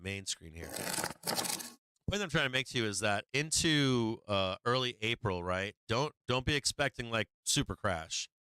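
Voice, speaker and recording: A man's voice: lowish voice, sharp tone, clear, resonant tone, no echo. A man hosting a stock market livestream, talking casually and profanely through charts with many fillers while he is losing his voice.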